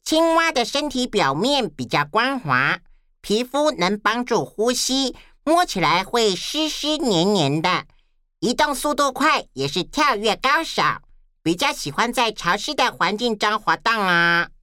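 A woman's voice: on the high side, very animated, unhurried, sharp tone, clean tone, no echo, clean studio sound. A woman giving a scripted educational explanation as a children's podcast presenter.

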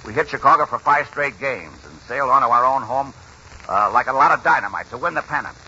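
A man's voice: mid-range voice, animated, fast talker, sharp tone, dull audio. A man playing a folksy old grandfather in a 1940s radio drama, spinning a baseball yarn in colloquial speech. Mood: animated storytelling. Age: older. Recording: noisy, band-limited 1940s radio recording.

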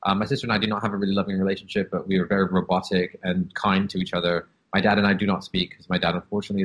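A man's voice: low-pitched, a bit bright, slightly rough, poor audio. A man in his thirties from Canada, speaking as an interview guest and telling his life story in an open, reflective way with many "um"s and "like"s. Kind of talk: candid personal storytelling.

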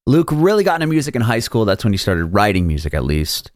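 A young male podcast host with a fairly low voice and an easygoing, slangy way of talking. The sound is dry and close, with almost no room reflection. He moves between a read-out intro and relaxed conversation. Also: quick pace, no echo. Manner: laid-back, uses casual slang. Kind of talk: read intro, then casual interview chat.

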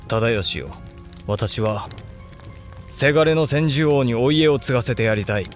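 A brooding samurai lord acted by a man with a mid-pitched male voice. He speaks with grave, deliberate authority, as in a historical radio play, with music underneath. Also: lightly acted. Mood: brooding, resolved. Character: brooding, resolute samurai lord and elder brother. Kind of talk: Japanese period audio drama acting.

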